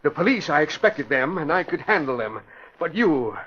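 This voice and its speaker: Haughty radio mastermind villain, highish male voice, grandiose talk, muffled old broadcast sound. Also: big pitch swings, moderate pace, a bit bright, breathy edge, exaggerated voice. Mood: menacing. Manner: grandiose, pompous boasting. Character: haughty, panicky male criminal mastermind boss. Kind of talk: classic radio drama acting. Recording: dull vintage radio broadcast recording.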